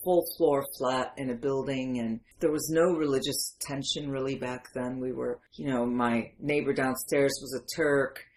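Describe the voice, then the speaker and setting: A woman's voice: a bass voice, steady tone, a little slow, few pauses, darkish tone, a little hiss or hum, poor audio. A woman in her fifties with an American accent, originally from Syria, talking candidly and emphatically as an interview guest. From Syria, then Detroit area in the United States. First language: not English. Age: 50s. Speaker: interview guest, memoir writer. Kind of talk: conversational personal storytelling.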